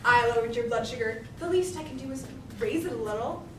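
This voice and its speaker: A scheming, grandiose male producer from a stage comedy played by a woman, pitched high and full of theatrical bluster. The delivery is cajoling and overbearing. It is captured at a distance in an echoey space with clear background noise. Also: fairly quick, natural voice. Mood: smooth, coaxing. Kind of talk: amateur stage comedy acting.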